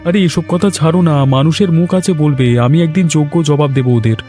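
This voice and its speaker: A man's voice: on the high side, fairly quick, warm tone, lightly acted. A man voicing a caring, determined young village son in a cartoon story, earnest and reassuring. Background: music.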